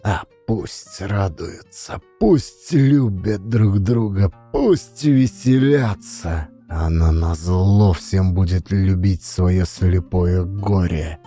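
A man narrating a classic story as an audiobook, with dramatic, expressive storyteller phrasing. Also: low voice, slow pace, hardly any pauses, faint music behind.

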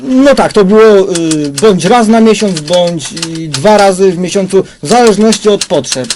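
Guy doing a nervy high voiced banker, hedging and polite, radio play, noisy background. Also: animated, moderate pace. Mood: uneasy, deferential. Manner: hesitant, evasive. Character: hesitant, deferential male bank director. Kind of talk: crime radio drama acting.

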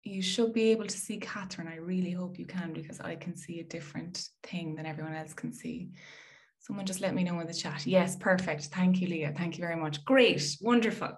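A woman's voice: on the low side, fairly quick. A woman in her thirties from Ireland with an Irish accent, giving a friendly, chatty research talk over a video call with frequent fillers. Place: indoor room at home.

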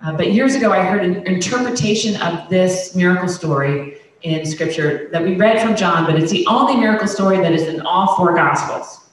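A woman's voice: fairly low pitch, moderate pace. Middle-aged lady pastor preaching, chatty, lots of restarts, big echoey church, sounds far off from the mic. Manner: conversational preaching with many restarts and fillers; gestures broadly while speaking. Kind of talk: sermon. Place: large wood-paneled church sanctuary. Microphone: handheld microphone. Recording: echoey church sanctuary, voice from afar.

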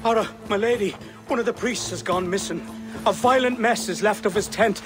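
An actor playing an anxious, deferential medieval messenger in a video game, urgent. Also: male voice, sits high, brisk, music in the background, close to an everyday voice. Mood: alarmed.

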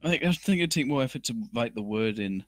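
A man's voice: mid-range voice, expressive, fast, fairly bright tone, no room sound. A man giving casual, mocking, sweary commentary over video game cutscenes.

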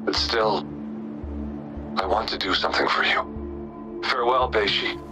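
A man voicing a solemn, remorseful older brother with quiet resolve in a video game. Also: lowish voice, expressive, measured pace, music in the background.

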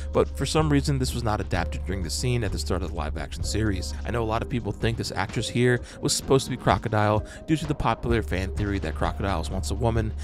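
Guy doing a scripted explainer voiceover, talks quick, music behind him. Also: fairly low pitch. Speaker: host of fan breakdown channel. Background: background music.

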